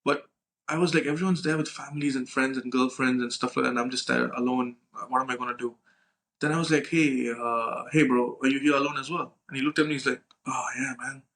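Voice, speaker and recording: Fast-talking young man telling stories. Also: medium pitch, fairly even intonation, fairly bright tone. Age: young adult. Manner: informal, slangy, acts out dialogue, frequent "right?" tags. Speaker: podcast co-host. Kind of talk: casual anecdotal storytelling with profanity.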